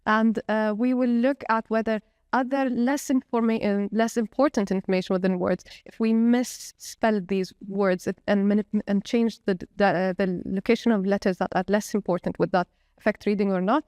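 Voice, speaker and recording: A woman researcher describing her experiments at a conference, pausing often to search for words. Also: mid-range voice, fairly even intonation, darkish tone, smooth, no room sound, studio quality. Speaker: researcher presenting her study. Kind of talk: conference panel discussion. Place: conference venue.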